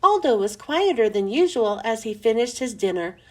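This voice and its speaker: A woman reading a children's book aloud with expressive, emphatic storytelling that stretches out key words. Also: fairly high pitch, normal speed. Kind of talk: expressive scripted storybook narration.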